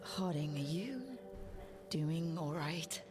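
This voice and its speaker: A young female adventurer leading her team in a fantasy game. Her voice is low and measured, earnest and steady, with music clearly playing underneath. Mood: concerned, steady. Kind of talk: video game cutscene acting.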